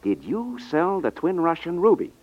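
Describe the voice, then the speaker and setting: A man's voice: a little high, huge pitch swings, normal speed, dry recording. A man acting in a 1950s radio drama as a brash, pushy, slangy salesman feigning honesty. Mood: eager. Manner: slangy, insistent. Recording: muffled 1950s radio recording.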